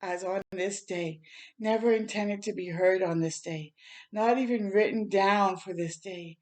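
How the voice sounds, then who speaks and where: A woman reads a story aloud to children in a medium-pitched voice. Her delivery is dramatic and heartfelt, leaning with emphasis on key phrases as a storytime host would. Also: a little slow. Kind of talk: expressive picture-book read-aloud.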